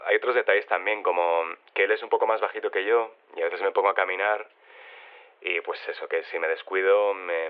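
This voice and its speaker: A deep male voice on a narrow telephone line. He plays a shy, body-swapped interviewee who speaks haltingly and plainly, with understated delivery. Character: shy woman living inside a man's body. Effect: telephone filter. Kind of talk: naturalistic phone interview in audio drama. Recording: narrow, tinny phone line.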